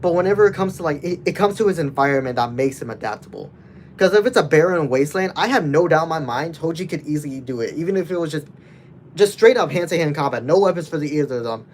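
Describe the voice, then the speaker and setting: A man's voice: on the high side, expressive, fast, slight background noise. A nineteen-year-old man casually chatting with his livestream viewers, rambling loosely with many restarts.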